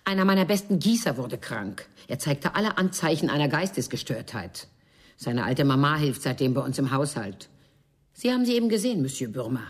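Mature bourgeois madame for radio crime play: extremely low, husky, disdainful, sweeping dramatic intonation. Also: female voice, lively intonation, brisk. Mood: matter-of-fact. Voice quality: husky, breathy. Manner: haughty, theatrical, swooping between indignation and hushed tones. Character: haughty mature Parisian bourgeois madame, disdainful, betrayed wife.

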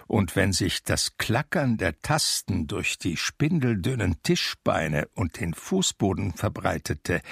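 A man acting the narrator of a radio drama, a reflective blind man telling his memories in a measured, wry, gravelly voice. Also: fairly low pitch, no echo, clean studio sound, full character voice.

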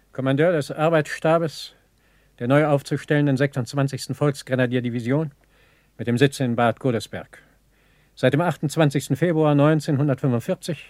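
A brisk wartime general, played by a male actor in a 1960s German radio play. He speaks in a clipped, authoritative, matter-of-fact way at a medium pitch. The sound is dry and close. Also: darkish tone, no echo, natural voice. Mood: formal. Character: brisk German lieutenant general commanding a bridgehead.

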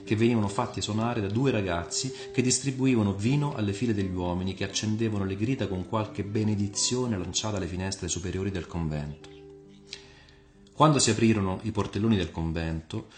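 A man reading a scripted literary historical tale as narrator, in a measured, dramatic storytelling manner. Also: on the low side, a little mellow. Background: music under the voice.

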